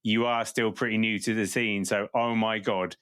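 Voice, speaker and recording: A man's voice: on the low side, steady tone, moderate pace, a bit bright, clear, resonant tone, dry recording, studio quality. A man hosting a podcast, chatting casually and jokingly with a guest, then reading the show outro. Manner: jokey, enthusiastic. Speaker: podcast host.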